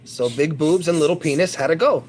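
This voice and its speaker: A man's voice: fairly high pitch, fairly quick, a little mellow, a little hiss or hum. A man chatting casually to his viewers on a livestream, rambling, boastful and crude. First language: English.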